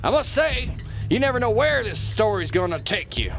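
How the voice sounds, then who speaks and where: A man's voice: sits high, big pitch swings, moderate pace, gravelly, over music, heavily acted. A man acting a folksy, blustering, dim-witted boss in a satirical radio drama. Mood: folksy musing.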